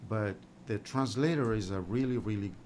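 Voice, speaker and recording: A man's voice: on the low side, normal speed, darkish tone, a little hiss or hum. A man whose first language is Spanish, speaking emphatically with many restarts as a panel guest. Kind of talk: casual panel discussion.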